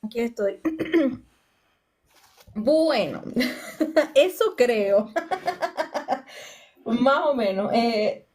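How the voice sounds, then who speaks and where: A woman's voice: on the high side, animated, very slow, long pauses, darkish tone. A woman, answering questions in a church lesson in a hesitant, giggly way. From Venezuela. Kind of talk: casual answers in a class.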